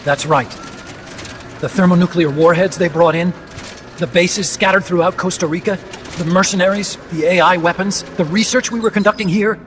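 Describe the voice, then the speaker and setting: A man's voice: high voice, normal speed, a bit bright, a little hiss or hum. A man voicing an earnest, talkative robotics engineer in a video game, explaining things in precise technical terms. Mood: earnest, explanatory. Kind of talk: game voice acting, expository dialogue. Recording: music behind the voice.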